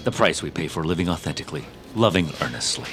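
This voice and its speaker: An actor playing a self-important, manipulative tech CEO, smoothly condescending with theatrical pauses, in an audio drama. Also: male voice, rumbling low, animated, music in the background. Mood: quietly menacing.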